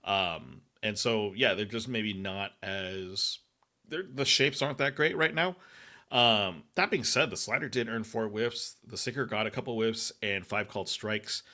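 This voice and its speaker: A man's voice: fairly low pitch, pushed and bright. A man hosting a fantasy baseball podcast, talking casually with frequent fillers and restarts. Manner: halting, many fillers and restarts. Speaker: podcast host. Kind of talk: casual analytic chat.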